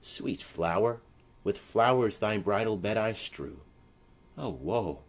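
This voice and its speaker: A man's voice: fairly low pitch, animated, slow pace, husky, exaggerated voice. A man playing a proud, mournful young noble who declaims formal verse in a tragedy audiobook. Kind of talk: classical tragedy audiobook acting.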